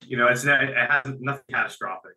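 Bright-voiced man answering in an echoey room. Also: mid-range voice, fast. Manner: conversational, repeats phrases as he restarts. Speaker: business guest. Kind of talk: casual interview answers.

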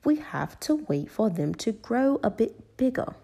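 A woman voicing a kindly old grandfather pig in a children's storybook, explaining things in a measured manner. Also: fairly high pitch, sing-song, creaky, dark tone, voice pushed up, full character voice.

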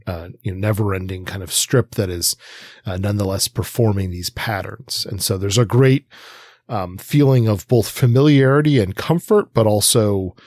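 A man's voice: fairly low pitch, darkish tone, no room sound. A man co-hosting a podcast, talking through music reflectively and conversationally, often searching for his words. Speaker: podcast co-host. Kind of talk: conversational music review discussion.

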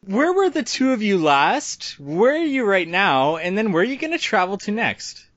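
A man's voice: high voice, big pitch swings, moderate pace, a bit bright, no echo, muffled recording. A man hosting a travel podcast, reading a scripted intro and then asking interview questions with upbeat energy. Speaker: podcast host.

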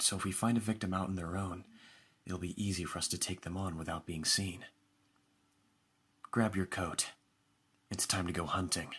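A man playing a proud, protective vampire master in an audio roleplay, speaking in a measured, formal, instructive way. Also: deep voice, a bit bright, husky, full character voice.

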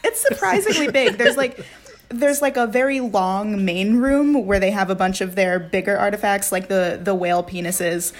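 A woman's voice: medium pitch, normal speed. A woman co-hosting a casual podcast, telling a fact with enthusiasm, frequent laughs and fillers. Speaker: podcast co-host presenting a fact.

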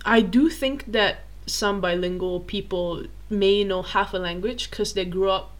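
A woman's voice: medium pitch, a little slow. Chatty teasing young woman. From Malaysia. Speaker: co-host. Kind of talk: casual chat.

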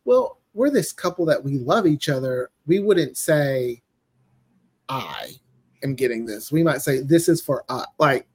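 A man's voice: mid-range voice, very animated, unhurried, breathy edge. A man chatting casually as a podcast guest and writer, telling stories and acting out quoted lines as he goes. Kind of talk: casual interview chat.

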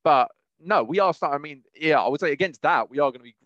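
A man's voice: a little high, animated, fast, a bit bright, no echo, muffled recording. A young British man chatting casually as a podcast co-host, rambling and often circling back mid-sentence. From United Kingdom.

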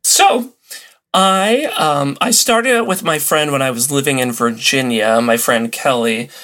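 Guy, podcast guest, just chatting casually about stuff, mid-pitched voice. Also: measured pace, sharp tone. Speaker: podcast guest, old-time radio podcaster. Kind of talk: casual interview chat.

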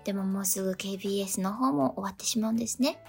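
A woman's voice: medium pitch, very fast, gravelly, slightly rough, soft background music. A woman co-hosting a radio show, chatting and reading out listener letters.

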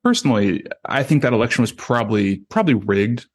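A man's voice: medium pitch, lively intonation, fast, slightly rough. A man hosting a podcast in a casual, conversational interview style. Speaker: podcast host.

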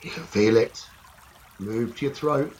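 A man leading a guided meditation slowly and soothingly, in short phrases with long pauses. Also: medium pitch, darkish tone. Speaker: meditation teacher. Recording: running stream water noise behind voice. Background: trickling stream water ambience.

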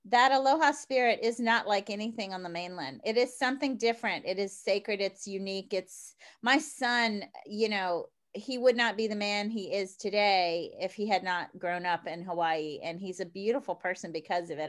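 A woman's voice: mid-range voice, moderate pace, hardly any pauses. A woman hosting a casual interview, chatty and gushing, laughing often. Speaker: podcast host.

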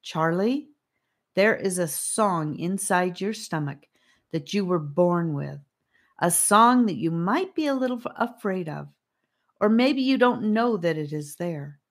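A woman's voice: on the low side, lively intonation, unhurried, voice pushed up. A woman reading a storybook, voicing a serene, earnest girl who encourages her friends. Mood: earnest, stirring.

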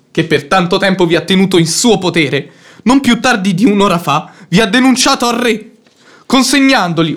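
An actor playing an earnest, anxious gentleman in a radio drama, speaking in a formal, grave and urgent manner. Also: high voice.

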